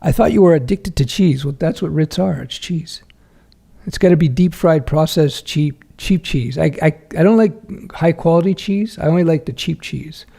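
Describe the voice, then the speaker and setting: A man's voice: on the high side, moderate pace, vocal fry, warm tone, slightly rough. A middle-aged man hosting a casual livestream Q&A, reading viewer questions aloud and answering frankly with lots of fillers and restarts. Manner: frank, chatty, many fillers and self-corrections.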